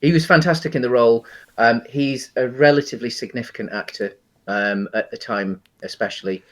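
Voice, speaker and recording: A man co-hosting a casual film discussion podcast, talking enthusiastically in a hesitant, halting, rambling way. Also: medium pitch, steady tone, fairly bright tone. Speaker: podcast host.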